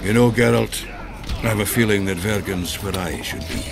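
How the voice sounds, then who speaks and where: An actor playing a gloomy, crude, hard-drinking dwarf in a video game, speaking in a blunt, grumbling, earthy way. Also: male voice, lowish voice, moderate pace, gravelly, loud music behind the voice. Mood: blunt, resolved. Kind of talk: video game dialogue acting.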